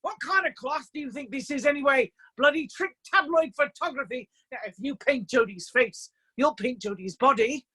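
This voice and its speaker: A woman voicing a hot-tempered, sarcastic male art teacher in a stage monologue, ranting and cursing with loud, scornful exasperation. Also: sits high, normal speed, few pauses, no echo. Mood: exasperated fury. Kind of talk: acted quote within a stage monologue. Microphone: video call.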